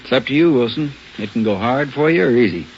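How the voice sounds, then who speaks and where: A male actor as a no-nonsense detective in a vintage radio crime show. He talks quickly in terse, probing phrases at a middle pitch, on a muffled recording. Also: animated, faint music behind, lightly acted. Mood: stern. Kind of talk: 1950s radio drama acting. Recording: muffled, faintly noisy old radio recording.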